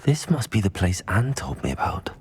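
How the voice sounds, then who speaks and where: A man playing a composed, inquisitive young wizard student in a video game, speaking in a measured way. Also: sits low, very animated, a little mellow, a little hiss or hum. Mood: quiet musing.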